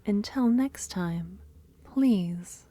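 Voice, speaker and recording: A woman hosting an ASMR channel, speaking to listeners in a gentle, hushed, soft-spoken way. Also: mid-range voice, drawn-out words. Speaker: ASMR storyteller and channel host.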